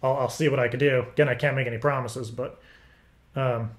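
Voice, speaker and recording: A man with a medium-pitched voice talks through a casual interview-style call. He rambles, stammering and halting as he works toward each point. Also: fairly even intonation. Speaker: prank caller. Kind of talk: casual phone conversation posing as interviewer.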